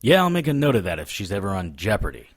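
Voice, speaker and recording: A man's voice: fairly low pitch, big pitch swings, fast. A man playing a sarcastic, impatient, world-weary reluctant champion in an audio drama, wisecracking with exasperation. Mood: dry sarcasm.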